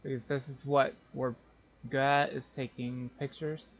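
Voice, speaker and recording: A man's voice: mid-range voice, level tone, measured pace, a little hiss or hum. A man doing casual live game commentary, thinking aloud in a hesitant, wry way. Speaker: game streamer.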